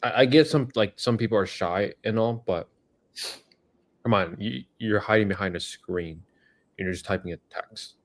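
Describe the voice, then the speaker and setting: A man's voice: on the low side, normal speed. A man co-hosting a live Q&A, frank and motivational, giving tough-love advice. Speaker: co-host answering chat questions. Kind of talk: casual live-stream chat.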